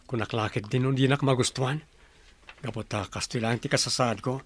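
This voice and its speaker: A man's voice: mid-range voice, steady tone, brisk, slightly rough, natural voice. A man playing a humble, polite, poor young suitor in an Ilocano radio comedy drama. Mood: earnest. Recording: loud music under the voice.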